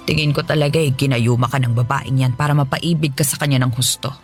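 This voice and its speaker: An actress playing a stern, scolding older mother-in-law in a radio drama, sharp-tongued and sarcastic. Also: a bass voice, steady tone, normal speed. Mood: disapproving. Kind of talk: Tagalog radio drama acting. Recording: music behind.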